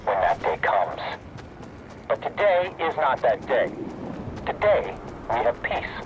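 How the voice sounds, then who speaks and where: An actor playing an earnest, grave but hopeful scientist who leads a resistance in a video game, speaking in a solemn, measured, heartfelt way. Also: male voice, medium pitch, big pitch swings, lo-fi sound, noisy background. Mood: solemn, stirring. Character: earnest wartime scientist leader rallying allies, grave and hopeful. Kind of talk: scripted game story monologue.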